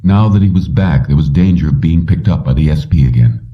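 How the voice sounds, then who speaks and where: A man with a very low voice narrates a story from a script. The sound is dull and muffled, as if he sits well away from the microphone. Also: expressive, brisk, creaky, recorded from a distance. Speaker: audiobook reader.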